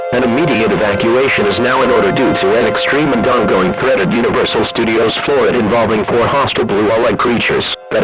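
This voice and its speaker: A synthetic male announcer reading scripted emergency alerts and weather reports in a formal, impersonal, measured way. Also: lowish voice. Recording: dull lo-fi radio-style broadcast audio.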